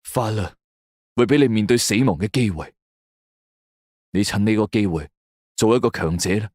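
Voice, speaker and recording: A man's voice: on the low side, moderate pace, a little mellow, dry recording, studio quality, lightly acted. A man voicing a cold, scornful police investigator in a suspense audio story. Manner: cold, taunting.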